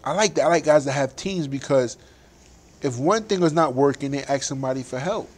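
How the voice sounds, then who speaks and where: A man's voice: medium pitch, brisk. A young adult man, a boxer, talking animatedly and emphatically in a casual interview, laughing often.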